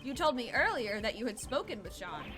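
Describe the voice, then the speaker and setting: A woman voicing a composed male prosecutor in a video game, speaking in a formal, measured, probing way. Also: on the high side, very animated, music in the background. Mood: polite, probing.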